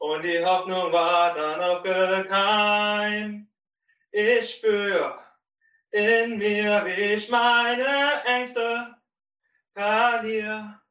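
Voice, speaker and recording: A man's voice: high-pitched, level tone, extremely slow, pushed and bright. Man singing from far off. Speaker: singer. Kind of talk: singing a parody song. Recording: roomy, from far off.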